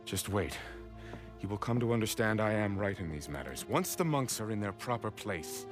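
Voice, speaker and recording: An actor playing an ambitious, self-righteous villain commander in a video game cutscene, commanding and persuasive. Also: male voice, lowish voice, animated, normal speed, lightly acted. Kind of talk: animated game cutscene acting. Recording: loud music behind the voice.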